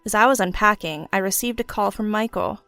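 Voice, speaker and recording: A woman's voice: medium pitch, brisk, pushed and bright, clear, resonant tone, no room sound. Woman narrating a story, dry close sound. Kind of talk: scripted first-person story narration. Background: background music.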